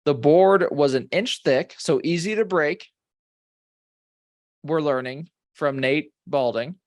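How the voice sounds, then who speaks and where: A man's voice: fairly high pitch, takes it slowly, darkish tone, no room sound, clean studio sound. A man co-hosting a comedy podcast, reading ghost stories aloud with wry, bantering asides. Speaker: podcast co-host.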